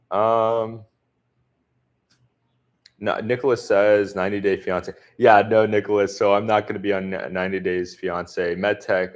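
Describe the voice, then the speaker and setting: A man from the southern US answering viewers' comments in a relaxed livestream chat, speaking with a deliberately neutral accent and many filler words. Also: deep voice. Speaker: expat vlogger answering live chat. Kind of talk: casual livestream Q&A.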